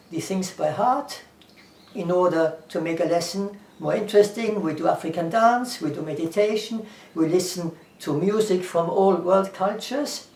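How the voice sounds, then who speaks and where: A man's voice: high voice, a little mellow. German-accented man, earnest and echoey. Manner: earnest, repeats himself, lists things, quotes scripture. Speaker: English teacher. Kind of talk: casual talk to camera. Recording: echoey room, far from the mic.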